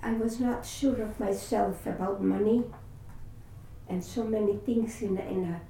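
An older woman with a Latvian accent, her first language, telling her life story in an oral history interview. She speaks haltingly, searching for words and restarting often. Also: medium pitch, steady tone, creaky, mellow. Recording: roomy echo, distant mic, faint hiss.

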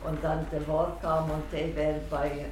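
An older man whose first language is Russian, recalling his past in halting English with many restarts. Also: on the high side, completely flat delivery, faint music behind. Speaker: interviewee. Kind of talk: oral history recollection. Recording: echoey room, faint background hum.

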